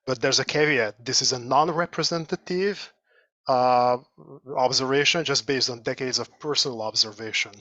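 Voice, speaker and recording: A man with a Hungarian accent, a sociology lecturer giving a measured, thoughtful seminar talk over a video call. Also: mid-range voice, bright, forceful tone, no echo. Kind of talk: academic seminar presentation.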